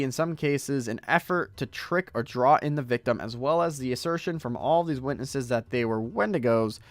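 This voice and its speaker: Hoarse man hosting a stream. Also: mid-range voice, no room sound. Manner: rambling, talks to chat while browsing. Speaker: podcast host. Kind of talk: reading aloud and casual chat on a livestream.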